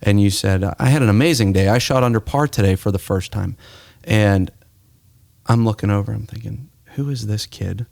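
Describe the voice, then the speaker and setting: Mellow low-voiced American male podcast host. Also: normal speed, vocal fry, no room sound. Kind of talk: conversational interview.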